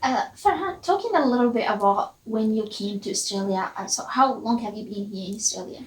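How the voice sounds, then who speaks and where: A woman's voice: medium pitch, brisk. A woman co-hosting a podcast interview, asking questions and encouraging the guest with frequent affirmations. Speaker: podcast co-host. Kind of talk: conversational interview. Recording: roomy, distant mic with faint ambience.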